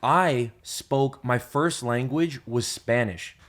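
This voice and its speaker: A young man from New York hosting a laid-back podcast. His talk wanders and stacks up curse words as he tells a story, with a middle-pitched voice. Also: takes it slowly, fairly bright tone. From New York, USA. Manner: loose and profane, rambling storyteller. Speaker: podcast host. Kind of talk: casual chat.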